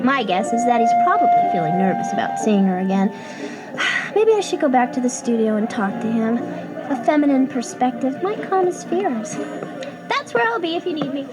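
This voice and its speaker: A woman's voice: high-pitched, rough recording. An actress playing an eager, meddling young woman in an audio drama, chatty, emphatic and pushy. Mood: confident, intrigued.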